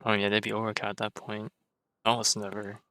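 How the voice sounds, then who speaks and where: A man's voice: fairly low pitch, completely flat delivery, brisk, bright, forceful tone, slight background noise. A man streaming a game, reacting casually and thinking aloud in slangy speech.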